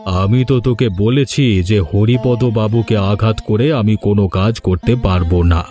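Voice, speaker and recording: A man playing a proud, domineering village landlord in a cartoon drama, speaking with deliberate authority. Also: on the low side, dark tone, faint music behind, close to an everyday voice. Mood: reasoning. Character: stern, domineering village landlord, male, proud but remorseful. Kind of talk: Bengali cartoon drama dialogue.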